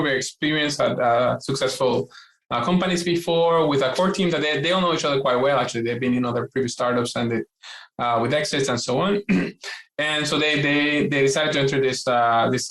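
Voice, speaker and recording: A man in his forties with a Puerto Rican accent speaks as a podcast guest. He talks eagerly and at length, repeats words and fills his pauses, at a mid pitch. His voice carries a roomy echo. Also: a bit bright. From Puerto Rico. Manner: eager and talkative, many fillers and repeated words. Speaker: guest, scientist. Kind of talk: podcast interview.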